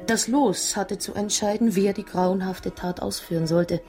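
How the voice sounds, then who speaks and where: An actress in a German radio drama playing an anguished wife who tells her story in a dramatic, halting way. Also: medium pitch, fairly even intonation, warm tone, soft background music, close to an everyday voice. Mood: haunted, grave. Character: anguished Italian wife recounting a murderous secret society's threat.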